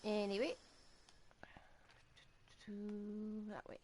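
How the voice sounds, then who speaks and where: Woman muttering slow wry game asides. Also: medium pitch, noisy background. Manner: relaxed, muttering asides to herself. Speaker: streamer playing a game. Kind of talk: casual gameplay commentary. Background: game music.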